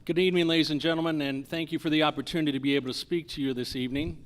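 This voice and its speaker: A man's voice: on the high side, fairly even intonation, racing, a little hiss or hum. A man, a lawyer and judicial candidate, giving a campaign introduction with hesitant stumbles and repeats. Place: live candidate forum.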